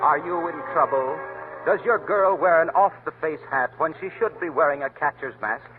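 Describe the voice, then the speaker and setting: Male radio announcer, salesman-like pitch, fairly high voice; phone-thin vintage sound. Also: moderate pace, over loud music. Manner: emphatic, salesman-like. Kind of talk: scripted commercial and show announcing. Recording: tinny lo-fi old radio broadcast, faint hiss.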